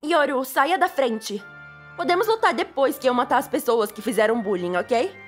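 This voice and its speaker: A woman's voice: high-pitched, animated, moderate pace. A Brazilian woman voicing a cheerful, self-righteous schoolgirl with an earnest, menacing streak in an anime-style fan dub. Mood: calmly menacing. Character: cheerful, self-righteous schoolgirl turned justice devil contractor. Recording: music behind the voice.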